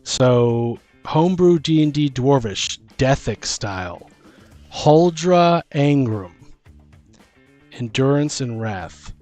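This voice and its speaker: A man with a low voice talks informally as a co-host on a tabletop gaming podcast. He thinks out loud in loose, unscripted phrases. Music plays behind him. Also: lively intonation, slow pace. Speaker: co-host and dungeon master. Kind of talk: casual podcast chat about tabletop gaming.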